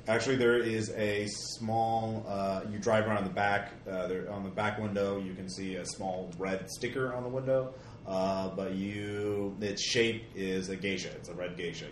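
Casting note: low-pitched male game master, halting delivery, echoey room with faint noise. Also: steady tone. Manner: halting, full of fillers and restarts. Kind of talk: running a tabletop game.

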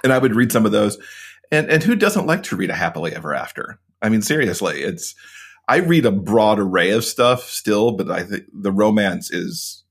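Husky low-voiced man. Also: very animated, moderate pace. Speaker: podcast co-host, interview guest. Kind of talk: casual conversation.

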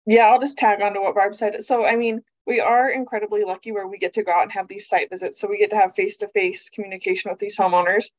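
A woman's voice: medium pitch, fairly even intonation, fast talker, few pauses, a little mellow, no room sound. A woman talks casually and upbeat about her program's site visits, with plenty of fillers. The recording is dry, with no room sound. The audio is dull and lo-fi. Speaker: seismic retrofit program staff. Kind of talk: panel discussion.

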